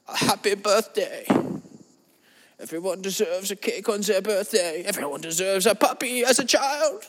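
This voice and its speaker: A man putting on a grandiose self-styled guru with a thick German accent, preaching in a very high, lofty voice. He stretches his words out solemnly, then snaps into blunt asides. The voice rings with a long, hall-like reverb behind it. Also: exaggerated voice. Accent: put-on German accent. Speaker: comedy podcast host. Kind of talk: improvised comedy monologue in character.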